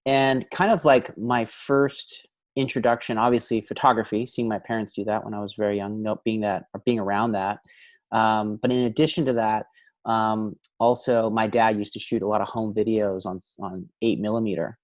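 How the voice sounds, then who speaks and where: A man's voice: on the low side, flat delivery, moderate pace, dry recording. A man from Canada, a film director, talking casually as a guest, thinking aloud with many fillers and restarts. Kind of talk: casual interview conversation.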